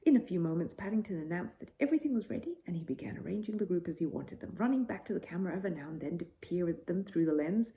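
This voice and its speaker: Female storyteller for children's bedtime narration; slightly low, mellow, animated. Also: very fast, hardly any pauses, rough recording.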